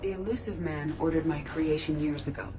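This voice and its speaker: Formal, measured female android, played by an actress. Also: low-pitched, close to an everyday voice. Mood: earnest, resolute. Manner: formal, precise, measured phrasing without contractions. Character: composed female artificial intelligence android, logical and earnest. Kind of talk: video game dialogue acting. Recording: music playing behind the voice.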